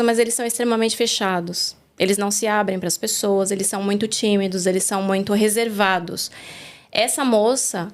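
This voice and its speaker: A woman from Brazil, the guest on a faith interview, telling her story in an earnest, reflective way, recorded in a studio. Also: a little high.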